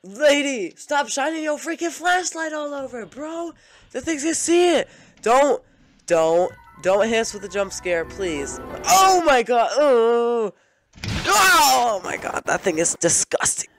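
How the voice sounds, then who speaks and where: Young male reaction host, high-pitched, excitable, casual slang, faint music behind. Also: unhurried.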